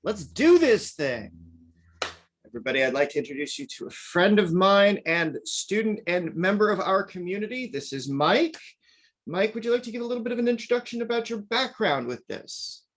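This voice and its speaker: A man's voice: high-pitched, expressive, moderate pace, a bit bright. A man teaching an online workshop class in a chatty lecturing way, often adding "right?". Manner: chatty, peppered with "right?" and "now".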